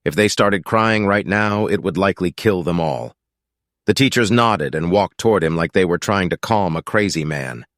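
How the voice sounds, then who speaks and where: Low-voiced man narrating fiction, dry sound. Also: normal speed, fairly bright tone, no echo. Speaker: audiobook narrator.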